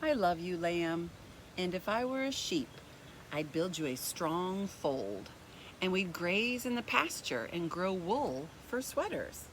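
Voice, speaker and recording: Expressive woman reading a children's story, lively pitch. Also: slight background noise. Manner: deliberate, expressive, addressing young listeners directly. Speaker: children's story time host reading a picture book. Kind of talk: read-aloud storytelling for young children.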